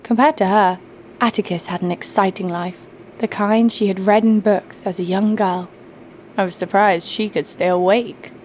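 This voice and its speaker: A woman's voice: mid-range voice, fairly even intonation, normal speed, darkish tone, a little hiss or hum, close to an everyday voice, poor audio. A woman playing a sassy, snarky heroine who narrates an audio drama story over faint music. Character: sassy, snarky female sidekick-owning storyteller, fond but teasing. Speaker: narrator and lead. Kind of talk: scripted first-person story narration.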